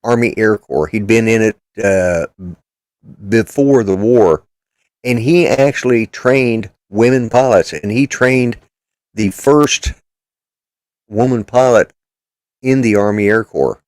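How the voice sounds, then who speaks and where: Blunt, rambling older man, low and dry. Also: takes it slowly, long pauses, a little mellow, dry recording. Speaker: podcast host. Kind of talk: casual political chat.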